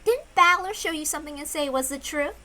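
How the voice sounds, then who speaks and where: A woman voicing a calm, aloof female witch who speaks softly and measuredly. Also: high-pitched. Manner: soft, measured, quietly lecturing.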